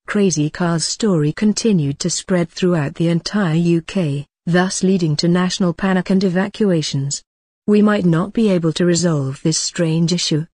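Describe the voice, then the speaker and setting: A woman voicing a formal emergency broadcast announcer, reading a scripted alert in a grave, measured, deliberate way. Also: lowish voice, a little mellow, slightly rough, dry recording, studio quality.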